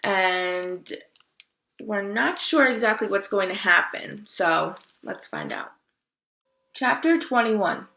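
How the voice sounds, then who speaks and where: A woman's voice: mid-range voice, expressive, takes it slowly, vocal fry, bright, forceful tone, reverberant. A woman hosting a children's book read-aloud, explaining the story and putting questions to her listeners.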